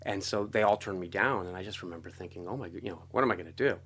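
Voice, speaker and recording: Middle-aged man, American accent, lowish pitch, casual storytelling, animated, chatty interview guest. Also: very fast, husky. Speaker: interview guest, composer and guitarist.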